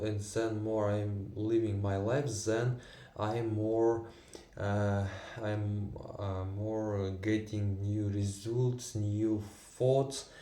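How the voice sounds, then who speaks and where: Slow halting guy, tatar and russian native speaking english, low mellow voice, roomy sound a bit off mic. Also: far from the mic. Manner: halting, many fillers and restarts, non-native English. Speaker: vlogger. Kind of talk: unscripted personal monologue.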